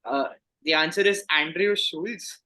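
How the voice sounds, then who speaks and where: Bright, higher-voiced male quizmaster. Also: a little slow, rough recording. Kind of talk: reading quiz questions aloud.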